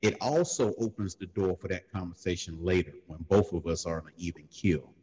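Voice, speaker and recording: Low husky man with a long reverb tail. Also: a little fast. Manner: laid-back storytelling, slips into loud high mocking voices when quoting others. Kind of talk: casual panel discussion.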